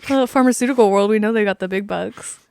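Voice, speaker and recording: A woman's voice: mid-range voice, fast, gravelly, a little mellow, clean tone, no echo. A woman in her thirties hosting a podcast, chatting casually with a friend and laughing often. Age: 30s. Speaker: podcast host. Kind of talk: casual interview conversation.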